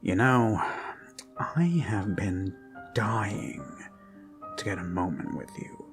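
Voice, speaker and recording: A performer of unclear sex improvising an ASMR roleplay as an obsessed kidnapper who dotes menacingly on a captive, halting and slipping into whispers. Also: low voice. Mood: creepy, coaxing. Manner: slow, halting, lapsing into whispers and giggles. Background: eerie background music.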